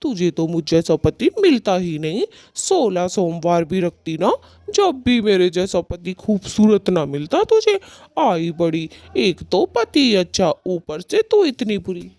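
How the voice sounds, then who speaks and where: A woman's voice: on the low side, big pitch swings, a little slow, mellow, no room sound. A woman voicing a vain, grumbling male bird husband in a cartoon, with a blustering delivery. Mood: scolding, boastful. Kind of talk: Hindi cartoon voice acting.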